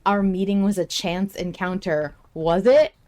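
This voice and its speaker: A woman with a mid-pitched voice co-hosts a podcast in an easy, casual chat. She is playful and joking, and leans on informal slang. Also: steady tone, unhurried, a little mellow. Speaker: podcast co-host.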